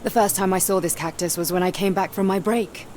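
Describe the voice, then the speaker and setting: An actress playing a polite, gently wry, reserved flower seller in a scripted game. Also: female voice, mid-range voice, fairly even intonation, a little fast, a little hiss or hum, natural voice.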